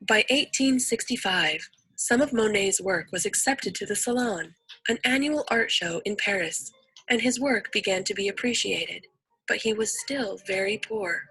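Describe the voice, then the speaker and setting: A woman's voice: medium pitch, moderate pace, sharp tone, breathy edge, dry recording, poor audio. A woman reading a scripted educational narration as the narrator of an instructional video.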